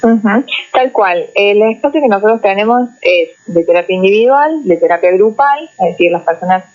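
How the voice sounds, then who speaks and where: A woman psychologist, speaking as a guest in a radio interview, explaining in a measured way and often checking in with "¿no?". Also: medium pitch, lively intonation, a little mellow. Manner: measured, explanatory, tags phrases with "¿no?". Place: radio studio. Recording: muffled lo-fi radio recording.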